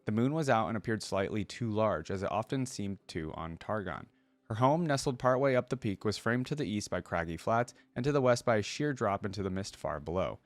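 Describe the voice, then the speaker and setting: A man hosting a reaction video, reading lore aloud and adding casual, rambling commentary as he thinks out loud. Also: fairly low pitch, lively intonation, brisk, no echo.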